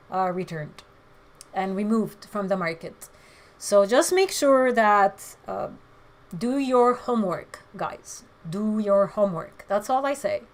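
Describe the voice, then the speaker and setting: Slow-talking hoarse woman. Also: medium pitch. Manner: frank, opinionated, hesitant fillers. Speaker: livestream host. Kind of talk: casual chat answering viewer comments.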